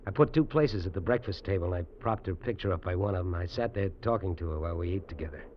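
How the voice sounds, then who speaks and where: A man's voice: low voice, quick pace, lightly acted. An actor playing a lonely fugitive man longing for his wife, speaking earnestly and wistfully, in 1940s radio drama style. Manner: earnest, wistful and soft-spoken. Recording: dull old radio recording, faint hiss.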